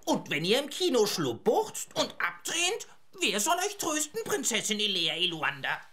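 A woman's voice: medium pitch, huge pitch swings, moderate pace, fairly bright tone. A woman playing a cheeky little magical owl in a children's radio play, theatrical and full of garbled made-up words. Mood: cheeky, pleading. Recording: music under the voice.

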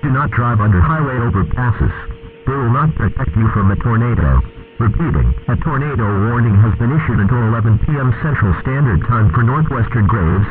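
A synthetic-sounding man reading a scripted emergency weather warning in a formal, measured, impersonal way. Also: medium pitch, lively intonation. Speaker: emergency alert announcer. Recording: narrow, phone-like radio broadcast sound.

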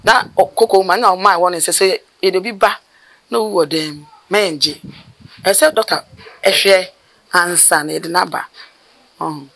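A middle-aged woman with a Ghanaian accent, a mortuary worker telling her stories in an outdoor interview, animated and gesturing as she talks. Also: on the low side. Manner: animated, gesturing with her hands, rapid bursts of speech. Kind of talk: storytelling interview. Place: outdoors by a building wall.

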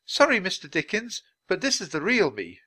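A man's voice: sits high, wildly swooping pitch, normal speed, sharp tone, breathy edge, voice pushed up, full character voice. A man voicing a bold, cheerful little girl in a storybook, speaking in a frank and eager way. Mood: forthright.

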